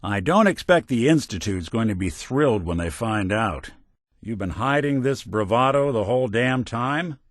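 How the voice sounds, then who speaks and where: An actor playing a world-weary robot detective in a video game, gruff, wry and kindly, with old-fashioned hard-boiled slang. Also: male voice, medium pitch, lively intonation, moderate pace, no echo, clean studio sound, natural voice. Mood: encouraging. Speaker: video game companion character. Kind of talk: noir detective game dialogue.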